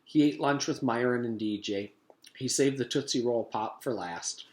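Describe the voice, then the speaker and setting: Guy teacher reading a kids book out loud, medium pitched voice. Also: moderate pace. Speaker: teacher reading aloud to pupils. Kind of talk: storybook narration.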